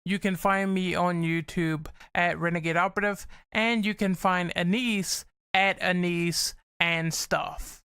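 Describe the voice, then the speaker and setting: A man hosting a casual podcast discussion, talking in an animated, opinionated and casually profane way. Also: sits high, a little slow, few pauses, clear, resonant tone. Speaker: podcast host.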